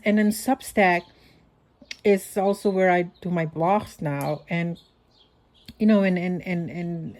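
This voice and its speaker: A woman talks through her podcast like a long voice note, thinking aloud and restarting her sentences as she goes. Her voice sits a little low and sounds mellow and relaxed. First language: Dutch. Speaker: podcast host. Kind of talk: casual voice-note monologue.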